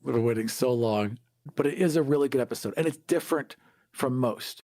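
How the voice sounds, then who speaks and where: A man's voice: medium pitch, fairly quick, a little mellow, no echo. A man co-hosting a podcast in a casual discussion about gaming. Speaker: podcast co-host.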